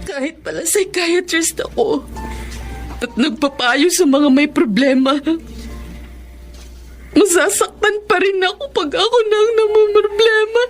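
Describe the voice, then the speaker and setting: High female voice, Filipino accent, betrayed wife, emotional radio drama, music behind. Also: a little slow, long pauses. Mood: sorrowful. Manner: emotional, pleading. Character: heartbroken wife and mother, a psychiatrist betrayed by her husband. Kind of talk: Tagalog radio drama acting.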